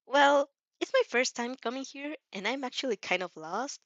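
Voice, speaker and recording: A woman's voice: sits high, lively intonation, normal speed, a bit bright, no echo, lo-fi sound, voice pushed up. A woman voicing a sweet, naive teenage girl in a polite, hesitant manner for a fan fiction reading.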